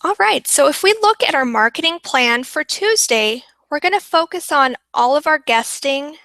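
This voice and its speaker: Upbeat woman teaching a webinar, voice fading from a long week, crisp bright tone, dead-dry sound. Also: high-pitched, animated, moderate pace, dry recording. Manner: upbeat, repeats for emphasis. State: losing her voice. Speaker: webinar presenter.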